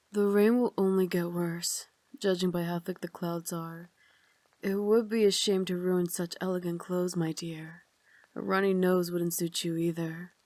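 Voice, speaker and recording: Victorian vampire lady, female actor, ASMR roleplay. Refined, formal, caring soft dominance; slightly deep voice, very dry sound. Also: dry recording, natural voice. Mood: doting, composed. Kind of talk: ASMR roleplay, slow intimate scripted acting.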